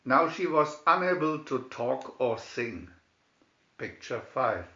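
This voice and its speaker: Slow-reading man in a roomy space. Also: mid-range voice, expressive, sharp tone, highs cut off. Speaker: storybook reader. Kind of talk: scripted fairy tale narration.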